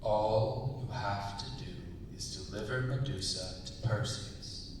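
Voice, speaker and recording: Menacing sea god in a cavernous hall, man acting. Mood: cold menace. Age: young adult. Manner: formal, threatening, measured. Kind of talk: staged dramatic script reading. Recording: very echoey hall, distant mic, hiss and rumble.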